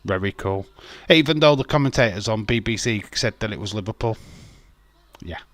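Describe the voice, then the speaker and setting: A man's voice: on the low side, lively intonation, normal speed, fairly bright tone. A middle-aged man with a Yorkshire accent, hosting a casual watch-along stream, chatting loosely, reading viewer comments and laughing often between rambling restarts. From Yorkshire, England. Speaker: livestream host.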